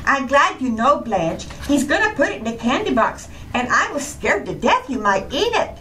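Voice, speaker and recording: A woman plays a daffy, cheerful housewife of radio comedy. Her voice is high and bright, swinging freely as she delivers innocent nonsense in all sincerity. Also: big pitch swings, moderate pace, slight background noise, exaggerated voice, low-quality recording. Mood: bright, guileless. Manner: guileless, innocently illogical. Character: cheerful scatterbrained housewife with daffy literal logic. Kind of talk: old-time radio comedy acting.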